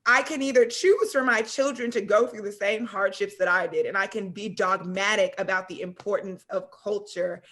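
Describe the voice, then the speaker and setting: A woman's voice: mid-range voice, moderate pace, few pauses, a bit bright. A woman co-hosting a podcast discussion, talking in an earnest, reflective way and often checking in with "right?" and "you know". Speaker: podcast co-host.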